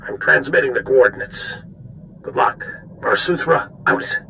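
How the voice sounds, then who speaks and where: An actor playing a grave, businesslike military general in a video game, with formal, clipped briefing delivery. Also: male voice, medium pitch, a little slow, creaky, pushed and bright, a little hiss or hum. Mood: urgent, businesslike. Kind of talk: video game cutscene acting.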